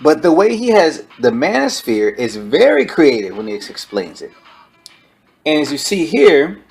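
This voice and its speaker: A man giving an informal spoken book review, explaining his points in a halting way over background music. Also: a little high, wildly swooping pitch, vocal fry, slightly rough.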